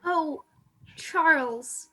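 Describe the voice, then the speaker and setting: A woman's voice: high-pitched, drawn-out words, sharp tone, heavily acted. A woman acting a timid, sorrowful young captive maid in a radio play, plaintive and naive, recorded over a video call. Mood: reluctant, distressed.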